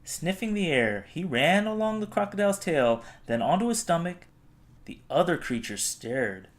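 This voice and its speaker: A man narrating a children's storybook expressively, with dramatic, deliberate phrasing. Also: fairly high pitch, big pitch swings. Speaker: storybook reader.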